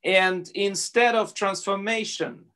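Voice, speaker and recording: Middle-aged man, slightly high voice. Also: takes it slowly, bright, forceful tone, clean tone. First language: Russian. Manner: earnest, argumentative, repeats words as he restarts. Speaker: panelist. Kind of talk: panel discussion remarks. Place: home room indoors. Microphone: video call.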